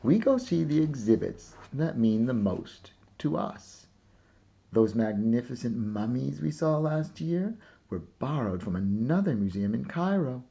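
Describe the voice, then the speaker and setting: A playful, kindly aunt from a storybook, as a man reads her aloud, unhurried and encouraging. The voice is mellow, on a slightly dull recording. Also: mid-range voice, sing-song, vocal fry. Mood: explaining.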